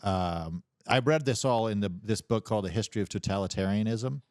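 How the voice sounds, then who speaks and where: Guy on a podcast, kinda deep voice, rambly and slangy, super dry room sound. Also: darkish tone, no room sound. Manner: rambling, halting explanations with casual slang. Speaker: podcast co-host. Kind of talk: casual chat.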